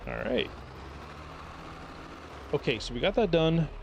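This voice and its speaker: A man streaming a farming game, thinking aloud in a relaxed, rambling way as he plays. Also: on the high side, slow pace, vocal fry, highs cut off, slight background noise. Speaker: gaming streamer. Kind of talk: casual gameplay commentary.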